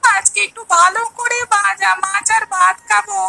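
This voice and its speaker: A woman's voice: extremely high pitch, steady tone, moderate pace, vocal fry, noisy background. A woman playing a poor young village boy in a cartoon story, hurt and plaintive, with a tearful whine. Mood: tearful, aggrieved. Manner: whining, tearful. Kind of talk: Bengali animated moral story acting.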